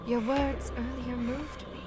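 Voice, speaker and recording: A woman playing a seductive, taunting female vampire in a horror audio drama, with drawn-out theatrical delivery over loud music. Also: fairly high pitch, level tone, background noise, lightly acted. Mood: silky menace.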